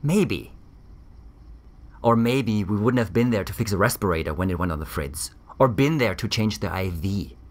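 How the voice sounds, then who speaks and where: Low-pitched man, acted weary military doctor, grave, measured, defensive, sci-fi audio drama. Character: weary, defensive male German ex-army doctor on Mars.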